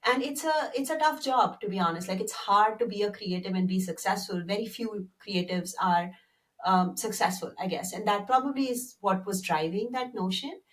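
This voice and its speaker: A woman's voice: mid-range voice, moderate pace. A woman host, chatting conversationally and thinking aloud in an interview. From India. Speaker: podcast host. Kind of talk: casual interview chat. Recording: voice distant from the mic.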